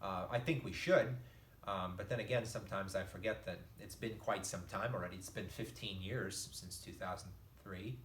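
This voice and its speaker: A man's voice: deep voice, normal speed, fairly bright tone, echoey room, a little hiss or hum. A man teaching a recorded geography lecture, talking in a loose, rambling way full of fillers and restarts, with his voice starting to give out. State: losing his voice.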